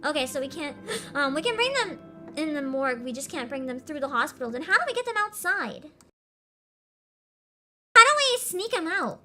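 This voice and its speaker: A woman's voice: high voice, big pitch swings, moderate pace, sharp tone, faint music behind. A young woman streamer commenting on a game with giggly, sarcastic chatter.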